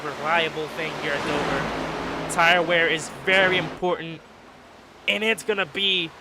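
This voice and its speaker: A man commentating a sim race play-by-play. He sounds a little stuffy from being sick, and he gets excitable at restarts and wrecks. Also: on the high side, noisy background.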